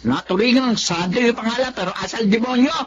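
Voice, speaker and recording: A woman's voice: fairly high pitch, a little fast, close to an everyday voice. A woman with a Filipino accent acting a feisty, blunt, middle-aged auntie in a radio drama. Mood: scolding, indignant. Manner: blunt, expressive. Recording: muffled audio with faint noise.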